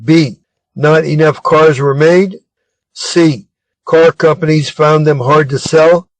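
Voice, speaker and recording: A man with an American accent reads scripted exam questions aloud in a measured, deliberate manner. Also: a little high, fairly even intonation, no echo, low-quality recording. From Arizona, United States. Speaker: reader of test material.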